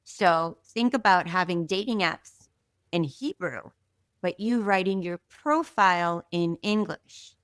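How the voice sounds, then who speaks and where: A woman guest telling a story in a casual, animated way, full of fillers and asides, from Israel. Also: fairly low pitch, slow pace, clear, resonant tone, dry recording. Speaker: podcast guest.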